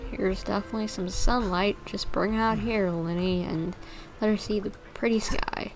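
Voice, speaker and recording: A woman's voice: medium pitch, steady tone, moderate pace, faint music behind. A woman livestreaming a game, giving casual commentary and thinking aloud informally as she plays.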